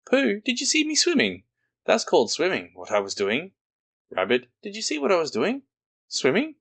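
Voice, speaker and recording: A man voicing Roo, a tiny, excitable boy kangaroo who squeaks and boasts about his swimming. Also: moderate pace, gravelly, heavily acted. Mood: excited.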